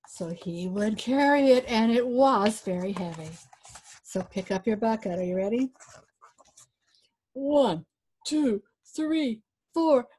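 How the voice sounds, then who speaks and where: Older woman, high voice, children's storyteller, animated read-aloud, inviting participation. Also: rough recording. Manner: animated, inviting listeners to join in. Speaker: author and storyteller. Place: small book-lined room indoors.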